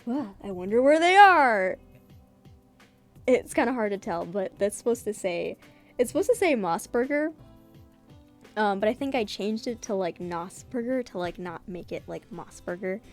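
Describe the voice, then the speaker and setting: A woman's voice: mid-range voice, expressive, normal speed, faint music behind. A woman giving a casual, self-mocking dramatic reading of her comic, with giggly running commentary. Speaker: webcomic creator reading her own comic.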